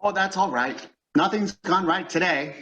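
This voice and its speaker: An actor plays a harried shop supervisor in a radio comedy. His voice is a little high for a man, plain and instructive. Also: moderate pace, pushed and bright. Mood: weary, instructing. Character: harried, matter-of-fact male pet department supervisor. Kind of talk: old-time radio comedy acting.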